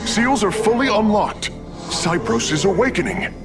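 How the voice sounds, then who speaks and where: A man playing an alert, excitable alien technician in a video game, giving urgent technical reports. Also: sits high, moderate pace, loud music behind the voice. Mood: excited. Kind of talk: dramatic video game cutscene acting.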